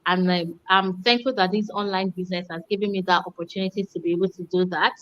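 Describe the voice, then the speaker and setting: A woman's voice: on the low side, fairly even intonation, quick pace, a bit bright. Cheerful woman from Nigeria, dull audio. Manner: cheerful, laughs easily, thankful. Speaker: guest mentor. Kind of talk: livestream self-introduction.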